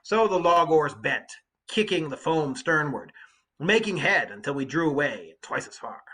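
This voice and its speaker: A man's voice: a little high, sing-song, moderate pace, bright, forceful tone, slightly rough, low-quality recording. A man reading literature aloud as a teacher, breaking off to explain it in an emphatic, colloquial way. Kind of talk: literature read aloud with informal commentary.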